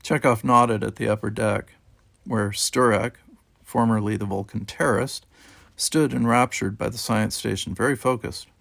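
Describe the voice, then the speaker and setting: A man reading a first-person novel aloud as an audiobook, with expressive storytelling delivery. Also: on the low side, moderate pace, gravelly, dry recording, studio quality. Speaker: amateur audiobook reader. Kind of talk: expressive scripted first-person fiction narration.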